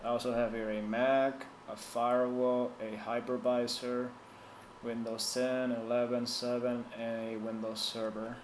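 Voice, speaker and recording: Slow, easygoing man. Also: medium pitch, flat delivery, roomy sound, slight background noise. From Puerto Rico. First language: Spanish. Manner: loose, thinks aloud, reads and answers chat. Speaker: tech streamer. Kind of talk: casual live-stream talk.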